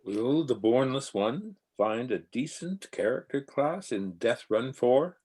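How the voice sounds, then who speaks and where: A man's voice: mid-range voice, animated, slow, darkish tone, slightly rough. A man announcing a scripted sci-fi radio serial and reading out its stage directions.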